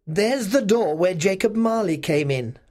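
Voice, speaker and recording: Storybook old miser turned joyful, high, swooping, exclamatory and gleeful. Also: male voice, lively intonation, measured pace, darkish tone. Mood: giddy joy. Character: joyful reformed old miser, giddy and tearful.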